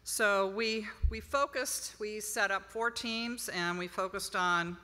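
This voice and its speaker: A woman's voice: mid-range voice, slow, pushed and bright. A middle-aged woman giving an informal conference presentation as an institute director at a podium microphone in a ballroom. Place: large hotel ballroom, on stage. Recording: reverberant hall PA sound.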